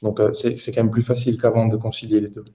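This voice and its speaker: Guy late 20s from the south, podcast guest, soft mellow tone, chatty interview answers. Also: lowish voice, monotone, fast talker. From Toulouse, southern France. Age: late twenties.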